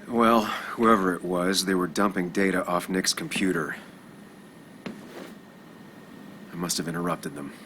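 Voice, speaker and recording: An actor playing a brooding, loyal journalist in his thirties in a video game, with measured, understated delivery. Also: deep voice, fairly even intonation, pushed and bright, a little hiss or hum. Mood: groggy, irritated. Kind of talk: naturalistic video game acting.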